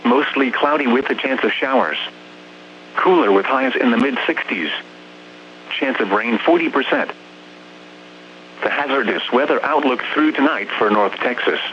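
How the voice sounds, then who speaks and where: A dull, slightly noisy radio broadcast with a hum carries a man's mid-pitched voice. He reads a scripted weather bulletin in a formal, measured and impersonal manner. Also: animated.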